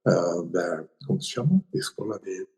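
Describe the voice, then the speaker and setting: An older man tells his story as a podcast guest. His voice is mellow and dark, and his pitch moves up and down a lot. He talks with many pauses, fillers and tag questions. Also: expressive. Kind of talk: conversational storytelling.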